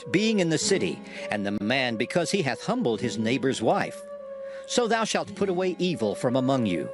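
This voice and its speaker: A man delivers a dramatized scripture reading in archaic English. His manner is solemn and declamatory, with a mid-range voice that moves up and down expressively. Music plays clearly behind him. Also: medium pitch. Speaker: Bible narrator. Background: music under the voice.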